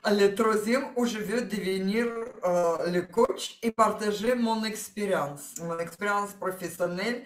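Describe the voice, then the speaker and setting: A woman from Ukraine with a Ukrainian accent, a guest in an interview, talking slowly and searching for her words, often with a small laugh. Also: mid-range voice, echoey room. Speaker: interview guest, coaching client. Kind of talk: testimonial interview.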